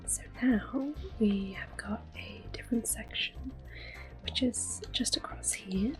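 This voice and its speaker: A woman hosts a gaming video in a soft, breathy whisper. She takes her time, rambling gently and casually in an ASMR style, with game music playing quietly behind her. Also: mid-range voice. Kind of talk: ASMR gameplay commentary, casual. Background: game music and controller sounds.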